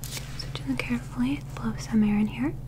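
A young woman doing a soft-spoken ASMR medical roleplay in a breathy whisper, gentle, soothing and reassuring, close to the microphone. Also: on the high side, fairly even intonation, normal speed, a little hiss or hum. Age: young adult.

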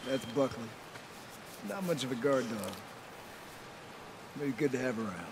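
An actor playing an earnest, plainspoken middle-aged Texan survivor leading a settlement in a video game, in a higher male voice. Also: moderate pace, background noise, natural voice. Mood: earnest, proud. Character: earnest younger brother leading a survivor settlement, rugged and hopeful. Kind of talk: video game cutscene acting.

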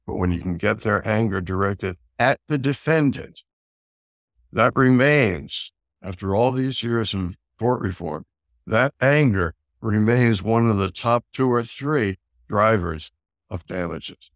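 Low-voiced older American man, blunt. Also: dry recording, clean studio sound. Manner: blunt, instructive. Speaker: trial consultant and author. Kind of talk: expert explanation. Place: indoors, video call.

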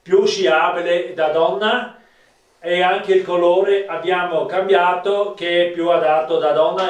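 High-voiced South Tyrolean man, unhurried. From South Tyrol, Italy. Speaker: ski maker presenting own products. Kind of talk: product presentation. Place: small wood-panelled rooms of an old farmhouse. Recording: roomy, picked up from a distance.

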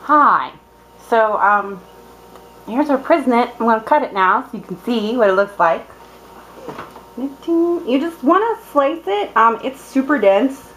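A woman's voice: fairly high pitch, expressive, a little slow, a bit bright, a little hiss or hum, rough recording. A young woman demonstrating a recipe in her dim home kitchen, chatty and playful, with lots of ums and cheerful exclamations. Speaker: cooking vlogger. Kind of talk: casual cooking demonstration. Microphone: camera held close, filming her hands.

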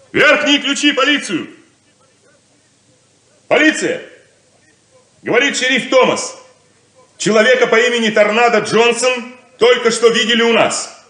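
An actor playing a brisk, commanding sheriff of a small western town in a 1970s radio drama. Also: male voice, very high-pitched, a bit bright, full character voice. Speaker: stage actor in a radio play. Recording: roomy 1970s radio play recording.

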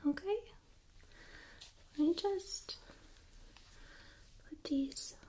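A young woman playing a gentle, attentive Reiki specialist in an ASMR roleplay, soft-spoken and often whispering, soothing and hushed. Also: extremely high pitch, drawn-out words, voice pushed up, heavily acted. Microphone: close binaural microphone.

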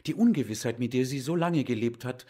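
A man's voice: mid-range voice, expressive, a little fast, mellow, close to an everyday voice. An actor in a German radio drama playing a composed, sensible male professor talking conversationally with a friend. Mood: calm concern.

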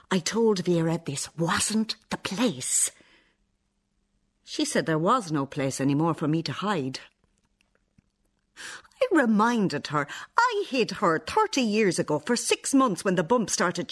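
An actress with an Irish accent playing a stern, bitter, defensive older nun in a radio play, sounding accusing and sanctimonious. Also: female voice, sits low, very animated, slow pace, heavily acted. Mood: indignant. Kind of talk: radio play acting, monologue and dialogue.

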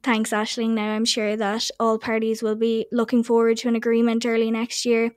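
Irish woman, podcast host, fairly high voice, flat delivery, dry close sound. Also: moderate pace, no room sound. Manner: even, scripted link-reading. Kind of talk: news podcast hosting.

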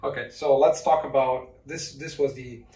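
A man's voice: medium pitch, fairly even intonation, moderate pace, mellow. A man in his forties hosting a casual interview, chatting and putting questions to a guest. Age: 40s. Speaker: interviewer, host. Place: indoor living room, on a sofa. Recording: roomy, distant microphone.

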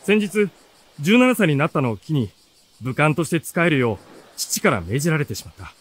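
Radio drama lead: earnest bookish runaway boy, highish animated voice, expressive storytelling delivery. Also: a little fast. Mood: reflective. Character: earnest seventeen-year-old youth, bookish runaway storyteller. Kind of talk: radio drama narration and dialogue.

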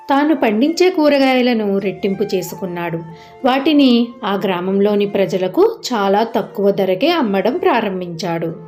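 A woman's voice: medium pitch, a little mellow. A woman narrating a scripted moral story for children as a storyteller. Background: faint music.

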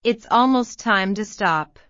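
A woman modelling English phrases for learners, repeating each one slowly and then word by word. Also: mid-range voice, fairly bright tone, no room sound. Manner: very slow, carefully enunciated. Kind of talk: slow drill reading, word by word. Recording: dull, slightly lo-fi sound.

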